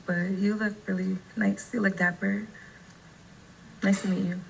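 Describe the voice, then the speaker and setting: A woman's voice: medium pitch, level tone, measured pace, gravelly, slight background noise, low-quality recording. A woman in her thirties, a dating show contestant speaking in a plain, direct way. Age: 30s.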